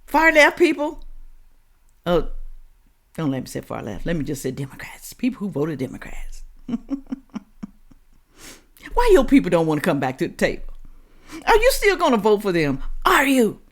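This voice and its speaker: A woman's voice: lowish voice, wildly swooping pitch, normal speed, pauses often. An American woman giving opinionated, confrontational commentary straight to viewers, blunt and full of rhetorical questions. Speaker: host of a reaction commentary show.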